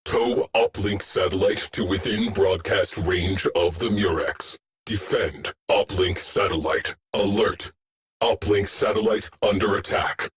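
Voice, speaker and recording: A low, husky male ship AI from a sci-fi game. He speaks in clipped, deadpan commands with a slow, measured delivery. The temper is stoic and coldly commanding, like a tactical officer issuing orders. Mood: brisk command. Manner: clipped, deadpan, short imperative phrases. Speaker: tactical mission operator. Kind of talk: scripted video game voice lines.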